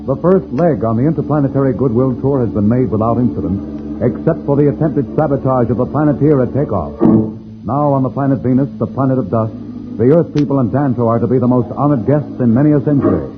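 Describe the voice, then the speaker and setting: A man's voice: mid-range voice, brisk. Tinny old-time radio serial announcer, man. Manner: dramatic, formal delivery. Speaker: announcer and narrator. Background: loud music.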